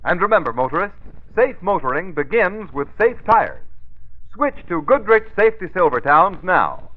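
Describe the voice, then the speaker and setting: A man's voice: sits high, big pitch swings, normal speed. A man announcing an advert in an emphatic old-time radio salesman style. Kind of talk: 1930s-40s radio advertising pitch. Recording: tinny old radio recording.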